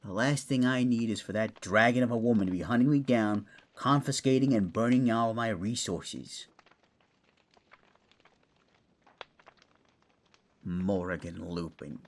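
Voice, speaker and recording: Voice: mid-range voice, lightly acted. A performer playing an eccentric, genial and chatty male fantasy lord in an audio roleplay, with theatrical, courtly, mischievous delivery. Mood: indignant.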